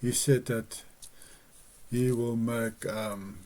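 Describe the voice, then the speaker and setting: Afrikaans-speaking guy, slow and earnest, kinda mellow voice, lots of restarts. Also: mid-range voice, steady tone. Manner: earnest, measured, with hesitations and restarts. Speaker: religious video host. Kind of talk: slow personal teaching monologue.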